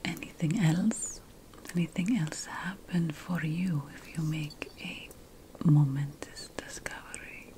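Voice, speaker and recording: A woman whispers a fantasy roleplay in ASMR style as a playful, self-important witch and potion master, breathy and deliberate, with pauses between phrases. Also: low voice, mellow, poor audio. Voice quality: breathy, mostly unvoiced whisper. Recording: faint background noise.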